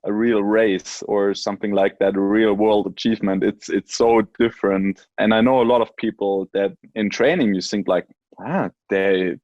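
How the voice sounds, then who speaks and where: Low-voiced man, lo-fi sound. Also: darkish tone, no room sound. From Germany. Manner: friendly, brief interjections, laughs along. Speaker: interviewer, former world champion. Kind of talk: casual interview chat.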